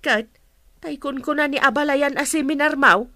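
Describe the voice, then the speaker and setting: High-pitched nagging Filipino mother. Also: moderate pace, pushed and bright, dry recording, studio quality. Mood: probing. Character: suspicious, nagging older mother of grown son. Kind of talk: Ilocano radio drama acting.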